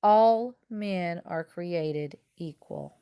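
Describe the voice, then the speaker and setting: A woman voicing a calm, resolute, righteous hero in a storybook, speaking firmly with slow emphasis. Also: fairly low pitch, smooth. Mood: calm, determined. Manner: firm, slow, emphatic delivery.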